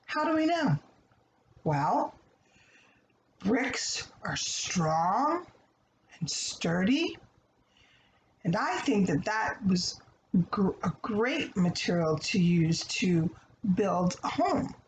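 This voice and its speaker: A woman's voice: mid-range voice, big pitch swings, extremely slow, pauses often. A woman giving a conversational children's story lesson in a didactic way, putting questions to listeners and answering them herself. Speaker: storybook read-aloud host and teacher. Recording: echoey room with faint background noise.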